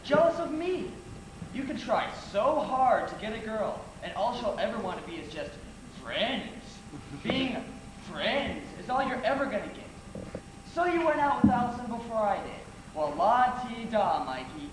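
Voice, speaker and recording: A man's voice: very high voice, sing-song, unhurried, exaggerated voice. Casting: male actor as smug young rival who taunts, stage drama; very echoey hall, noisy capture. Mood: smug, taunting. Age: young adult. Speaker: stage actor in a one-act play. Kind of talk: amateur stage acting, projected dialogue. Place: theater stage. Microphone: distant audience camera.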